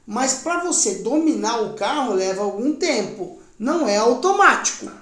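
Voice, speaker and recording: A woman's voice: a little high, very animated, moderate pace, reverberant. A woman casually commenting on a lecture clip, explaining points in plain words with asides. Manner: explains plainly, adds asides with tag questions. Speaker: video commentator.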